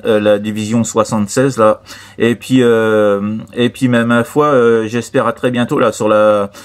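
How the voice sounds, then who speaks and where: A man's voice: lowish voice, fairly even intonation, a little slow, smooth. A man chatting casually as an amateur radio operator, repeating himself with friendly sign-offs. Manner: repetitive, filler-heavy, friendly sign-offs. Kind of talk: casual radio contact chat.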